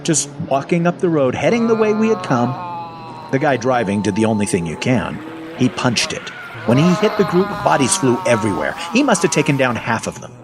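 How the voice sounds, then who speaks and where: A man's voice: mid-range voice, a little fast, close to an everyday voice. A man narrating audio fiction in the first person as a sarcastic, foul-mouthed captive survivor who is part zombie. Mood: irritated, animated. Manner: sardonic, conversational, expressive.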